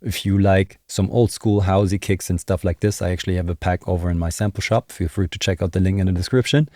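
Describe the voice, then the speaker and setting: A man's voice: sits low, steady tone, fast, mellow, no echo. A young man giving a casual tutorial, thinking aloud in a conversational, explanatory way into a close microphone. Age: young adult. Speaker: music production tutor. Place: small indoor home studio. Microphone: close desk microphone, headphones on. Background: music and drum samples.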